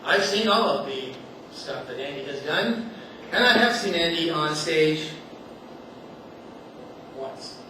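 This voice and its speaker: A man with a slightly high voice tells a loose, self-mocking story from a stage and often laughs at his own jokes. He sounds far from the mic, in a hall that echoes heavily, with a steady hiss of noise behind him. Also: slow pace, pauses often. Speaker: stage storyteller at a community event. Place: theater stage before a live audience.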